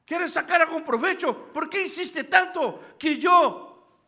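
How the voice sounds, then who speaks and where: Un pastor de origen brasileño, con acento brasileño al hablar español, predica un sermón. Alterna relatos en voz baja con exhortaciones gritadas a la congregación. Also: male voice, extremely high pitch, takes it slowly. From Brazil. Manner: swings from quiet storytelling to loud shouted preaching, calls out to the congregation. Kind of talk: sermon. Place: church sanctuary.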